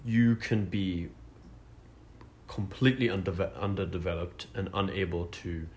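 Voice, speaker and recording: A man's voice: low-pitched, steady tone, unhurried, a little mellow, a little hiss or hum. A man in his twenties hosting a casual podcast chat, talking with many fillers and restarts. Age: 20s. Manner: hesitant, lots of fillers and restarts. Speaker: podcast host.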